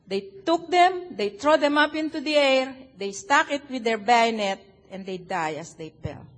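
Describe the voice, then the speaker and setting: A woman's voice: on the high side, in a large hall. Older Filipino woman giving testimony. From Philippines. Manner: animated, joking, sings a hymn. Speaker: guest speaker. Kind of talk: personal testimony.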